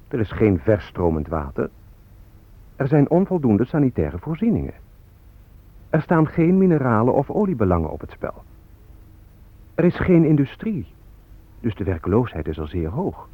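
Defiant activist spokesman, very animated delivery. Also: lowish voice, moderate pace, pauses often, no echo, narrow, tinny audio. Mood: ironic, deliberate. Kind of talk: radio drama acting, declamatory.

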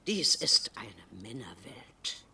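A woman's voice: very deep voice, big pitch swings, takes it slowly, gravelly, pushed and bright, recorded from a distance, exaggerated voice. A woman playing a domineering, bitter elderly retired schoolteacher in a radio drama, speaking in a pedantic, imperious way. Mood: bitter, lofty. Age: older. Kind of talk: German radio drama acting.

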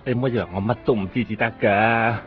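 A man's voice: fairly low pitch, fairly even intonation, fast talker, close to an everyday voice. A man voicing a needy, whiny pet dog in a radio drama, pleading like a child. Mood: knowing. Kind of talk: Cantonese radio drama acting. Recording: muffled lo-fi radio recording, music behind.

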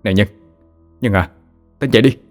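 A calm young man talks his friend down in a lowish, steady voice, as a man plays him. He is soothing and reasonable. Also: fairly quick, soft background music, close to an everyday voice. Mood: urging.

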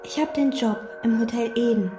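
An actress playing a withdrawn, meticulous chambermaid who speaks precisely and detachedly, in radio drama and inner monologue. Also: female voice, fairly high pitch, moderate pace, music in the background, close to an everyday voice. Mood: flat. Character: meticulous, withdrawn woman chambermaid obsessed with cleaning. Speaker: hotel chambermaid.

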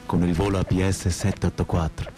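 A man's voice: sits low, flat delivery, brisk, close to an everyday voice. An actor playing an enigmatic, terse male stranger of the night, speaking cryptically and commandingly in radio theatre. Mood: cryptic, insistent.

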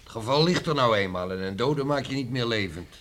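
An actor in a Dutch radio play playing a stern, quick-tempered middle-aged village father with a gruff, halting manner. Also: medium pitch, animated, fairly bright tone, close to an everyday voice. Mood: disapproving, dour. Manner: gruff, halting, repeats himself.

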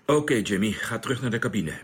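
Space captain, radio drama, husky, very varied pitch, authoritative, decisive. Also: male voice, lively intonation, quick pace. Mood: calm, commanding. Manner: authoritative, giving orders. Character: commanding male spaceship captain, calm, decisive. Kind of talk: radio play acting.

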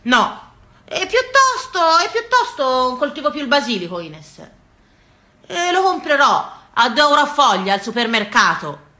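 Lively, high-pitched Tuscan countrywoman. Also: measured pace, exaggerated voice. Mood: indignant, stubborn. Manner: colloquial, expressive, addressing a neighbour with rhetorical questions. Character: earthy Tuscan countrywoman, stubborn, tender about her garden. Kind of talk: theatrical monologue, rustic storytelling.

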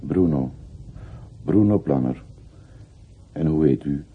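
A solemn older man with a very deep voice, a humble father in mourning in a radio drama. He speaks haltingly and respectfully on a muffled recording with clear noise behind him. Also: full character voice. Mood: wistful, reserved. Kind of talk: radio play acting. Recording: muffled, noisy old radio play recording.

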